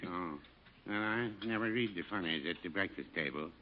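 A man playing a mild, folksy older American shopkeeper, deadpan and hesitant, in a 1950s radio comedy. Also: sits low, moderate pace, lightly acted. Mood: dry. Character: mild, folksy older druggist, dryly literal and henpecked. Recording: muffled, clicky, very noisy radio recording.

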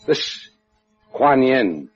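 An American actor playing a tough, wry adventurer in a 1950s radio drama, speaking in a laconic, sardonic way. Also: male voice, medium pitch, sing-song, drawn-out words. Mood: wry, guarded. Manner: laconic, hard-boiled, sardonic. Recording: dull old radio recording with music.

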